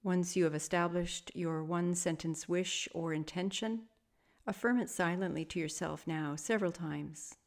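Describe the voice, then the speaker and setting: Low-pitched woman guiding a meditation. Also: fairly even intonation. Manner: soft, soothing, measured with long pauses. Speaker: yoga nidra meditation teacher. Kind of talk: guided meditation.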